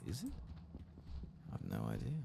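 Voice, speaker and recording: A man giving soft-spoken, partly whispered ASMR gaming commentary, casually swearing and thinking aloud. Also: moderate pace, background noise. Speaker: host of an ASMR game playthrough.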